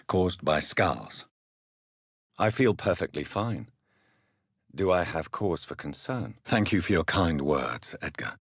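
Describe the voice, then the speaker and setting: A man playing an earnest, courteous English gentleman doctor in a video game, speaking formally and asking measured questions. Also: sits low, breathy edge, dry recording, studio quality, heavily acted. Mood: courteous, probing. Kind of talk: video game character dialogue.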